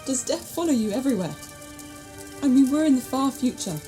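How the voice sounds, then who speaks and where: A woman's voice: high-pitched, expressive, fairly quick, music in the background. A woman playing an earnest, anxious, plain-spoken companion in an audio drama. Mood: grieving, questioning. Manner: emotional, exasperated, earnest.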